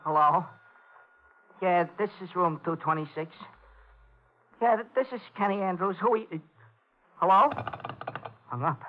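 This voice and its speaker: A man's voice: sits high, a little slow, pauses often. An actor playing a nervous, stammering, hapless American man mixed up with gangsters in an old-time radio comedy. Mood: panicked, pleading. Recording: muffled old radio recording with hiss.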